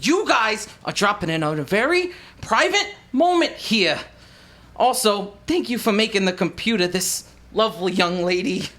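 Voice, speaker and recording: A crude, lecherous young hacker, played by a man. His voice swings widely in pitch as he leers and then begs. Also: animated, moderate pace, pushed and bright. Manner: crude, pleading, laughing.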